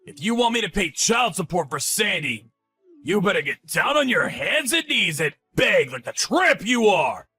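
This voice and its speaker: A man voicing a spiteful, sneering middle-aged ex-husband who shouts and taunts in a dramatized story. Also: high-pitched, very animated, normal speed, husky, no room sound, faint music behind, heavily acted. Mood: gloating.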